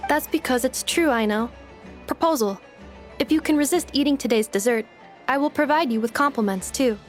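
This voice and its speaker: A woman voices a serene female robot in a game, kindly and precise in her formal phrasing. Her voice sits fairly high, with faint music underneath. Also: normal speed, lightly acted. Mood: composed. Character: polite, kindly, composed female robot helper. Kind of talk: video game voice acting.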